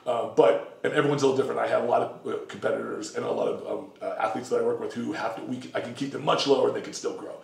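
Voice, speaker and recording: Gravelly middle-aged man, roomy kitchen. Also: medium pitch, fairly even intonation, very fast, hardly any pauses. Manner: rambling, many fillers and self-corrections. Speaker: diet coach guest. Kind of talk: casual explanatory talk. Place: home kitchen, indoors. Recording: echoey kitchen, mic at a distance.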